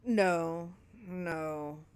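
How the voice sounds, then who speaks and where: A woman's voice: fairly low pitch, drawn-out words, darkish tone, clean tone. Woman as a drawling, unperturbed, musing man. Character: unperturbed, watchful male film man, musing over a memory.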